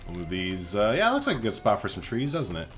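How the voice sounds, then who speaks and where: Low-voiced, animated man doing game commentary. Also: quick pace, soft background music. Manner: chatty, playful, giggly, thinks aloud. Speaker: gaming video host. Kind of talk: casual let's play commentary.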